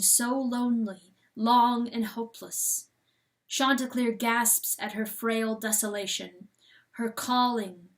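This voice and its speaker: Slow, high-voiced woman narrating dramatically. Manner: theatrical, deliberate phrasing. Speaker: audiobook reader. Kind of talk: dramatic scripted narration of a novel.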